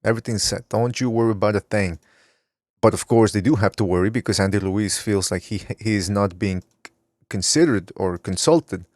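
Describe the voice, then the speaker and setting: A man with a fairly low voice hosts a loose, rambling podcast and keeps reading listener comments from the chat room aloud. His voice sounds close and dry, with no room behind it. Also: no echo. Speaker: podcast host. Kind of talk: casual talk show chat.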